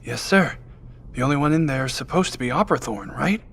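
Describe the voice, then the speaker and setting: An actor playing a blunt, deferential military subordinate with a gravelly, raspy voice in an audio drama. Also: male voice, mid-range voice, animated, fairly quick, fairly bright tone, a little hiss or hum. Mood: dutiful.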